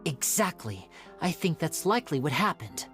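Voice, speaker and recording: A composed young game magician, with a slightly low voice. The delivery is measured, deliberate and quietly confident, explaining like a detective over faint music. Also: female voice, big pitch swings. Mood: calm, deductive. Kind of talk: video game voice acting. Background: faint background music.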